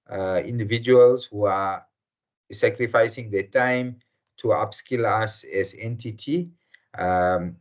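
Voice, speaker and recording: A man from South Africa hosting an online workshop session, speaking slowly with many fillers over a video call. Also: fairly low pitch. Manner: slow and hesitant, many fillers. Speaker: webinar host. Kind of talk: formal welcome to an online session. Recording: muffled video call audio.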